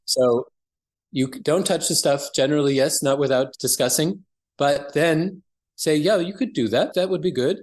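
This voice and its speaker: A man's voice: a little high, normal speed. A man speaking as an interview guest, explaining things conversationally and earnestly as a practitioner.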